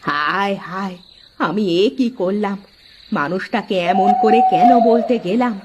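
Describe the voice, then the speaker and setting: A woman's voice: mid-range voice, animated, measured pace, natural voice. A woman voicing a scolding, melodramatic village wife in a cartoon, exclaiming emphatically, in a dull lo-fi recording. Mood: remorseful. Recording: dull lo-fi audio with faint noise.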